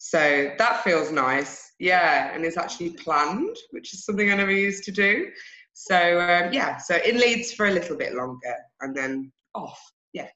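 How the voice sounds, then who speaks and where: A woman's voice: lowish voice, lively intonation, normal speed, bright, forceful tone, reverberant, highs cut off. A woman with an English accent, a podcast guest telling her personal story in a frank, self-deprecating, reflective way. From England. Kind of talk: candid personal story in an interview.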